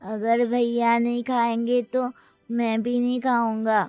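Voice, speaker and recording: A woman's voice: fairly high pitch, monotone, slow pace, music in the background. A woman voicing a sweet, loyal little boy in a cartoon story, earnest and childlike. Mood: earnest defiance.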